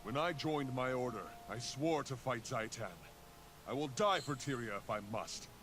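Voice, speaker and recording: A man's voice: medium pitch, lively intonation, moderate pace, a bit bright, lightly acted. An actor playing a resolute male hero in a video game, speaking steadily and persuasively. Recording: game audio with background noise.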